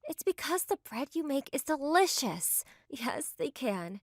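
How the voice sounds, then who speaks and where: A woman's voice: high voice, very animated, measured pace, no room sound, studio quality. A woman voicing a sweet, devoted young woman in a manga dub, speaking earnestly. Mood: loving.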